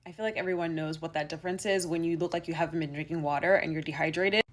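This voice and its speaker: A woman talking through a numbered list of grooming tips in a relaxed, conversational way. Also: low-pitched, fast talker. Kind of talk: listicle beauty vlog.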